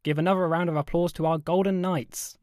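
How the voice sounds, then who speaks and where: A man voicing an excitable air show announcer in an audio story, showy and projected, hyping up a crowd. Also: on the high side, brisk, no echo, clean studio sound, voice pushed up, natural voice. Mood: hyped.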